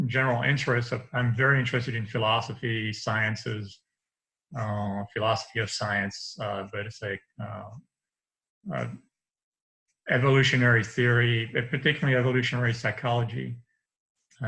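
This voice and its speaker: French-accented guy in his 50s, bright forceful voice, rambles a bit on a webcam call from his study. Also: medium pitch, rough recording. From France. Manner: rambling, restarts often, light laughs. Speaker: interview guest. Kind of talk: casual conversation over video call. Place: home study with bookshelves. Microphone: video call webcam.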